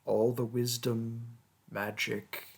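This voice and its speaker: Casting: male actor as a vain sorcerer king, slow, deliberate delivery, breathy tone, condescending and grandiose, for fantasy audio roleplay. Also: mid-range voice, level tone, heavily acted. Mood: grand, storytelling. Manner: pompous, theatrical, condescending. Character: pompous, vain mage king, haughty toward his peasant subject. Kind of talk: theatrical roleplay monologue and storytelling.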